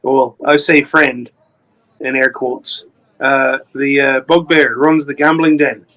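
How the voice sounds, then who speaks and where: A man playing a tabletop game, talking in character in a persuasive, smooth way. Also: medium pitch, level tone, slow, pushed and bright, low-quality recording. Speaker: player. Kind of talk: in-character roleplay talk.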